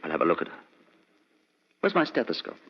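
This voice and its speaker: Authoritative, animated doctor. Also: male voice, low voice, a little fast, husky, exaggerated voice. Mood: grave, commanding. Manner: commanding, clipped. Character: authoritative, decisive male doctor. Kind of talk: old-time radio mystery acting. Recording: muffled old radio recording.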